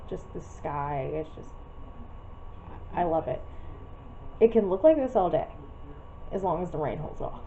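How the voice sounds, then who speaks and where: A woman's voice: fairly low pitch, mellow. Congested woman vlogging casually at home. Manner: chatty, rambling, laughs often. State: congested from a cold. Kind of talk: casual vlog chat. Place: at home, then outside by the front door. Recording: dull lo-fi camera audio with background noise.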